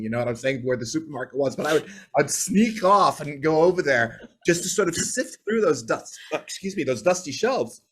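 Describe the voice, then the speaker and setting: A man in his forties from Bahrain, a native English speaker, telling stories in a chatty, winding way during a video-call interview. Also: medium pitch. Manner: chatty, winding, tags points with "right?". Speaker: guest. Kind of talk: casual interview storytelling.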